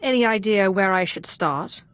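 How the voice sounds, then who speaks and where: Sardonic sith apprentice woman voiced by an actress, medium pitch, cool n cocky, dry audio, video game. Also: animated, moderate pace, clean tone, no echo, lightly acted. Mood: cool, wry. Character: self-assured female Sith apprentice, coolly sardonic. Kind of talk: scripted video game dialogue.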